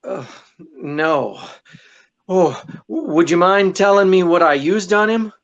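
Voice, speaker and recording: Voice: mid-range voice, slow pace, highs cut off. An actor playing a wisecracking, unflappable male amateur detective in 1940s radio style, with a droll, teasing, hesitant delivery. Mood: wry, amused. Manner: droll, sardonic, chuckling hesitations.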